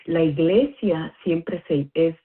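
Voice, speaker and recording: A low-voiced woman with a husky edge calls in over a tinny phone line. She talks through a bible study as a non-native speaker, hesitant and careful, pausing on fillers. Her delivery is slow. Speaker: caller.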